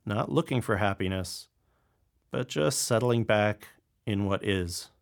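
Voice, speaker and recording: A man with a fairly low voice and a strong gravelly fry leads a guided meditation. He speaks as a calm teacher, giving gentle instructions and leaving space between his phrases. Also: a little slow.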